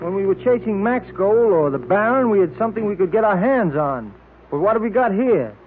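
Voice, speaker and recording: An actor playing a breezy, plain-spoken detective's sidekick, slangy and informal, in old-time radio drama style. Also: male voice, sits high, expressive, fairly quick. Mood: doubtful, uneasy. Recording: tinny, hissy old-time radio recording.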